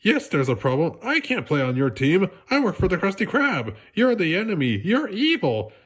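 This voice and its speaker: A man voicing an eager, earnest young sea sponge in an excitable, emphatic storybook character voice. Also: high voice, moderate pace, breathy edge, voice pushed up, exaggerated voice. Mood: indignant.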